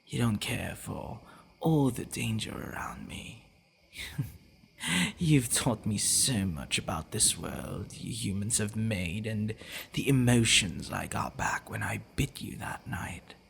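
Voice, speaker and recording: A man playing a possessive, teasing, devoted vampire boyfriend in an intimate ASMR roleplay, speaking in a hushed, breathy way with hesitant pauses. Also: on the low side, expressive, slight background noise, heavily acted. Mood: adoring.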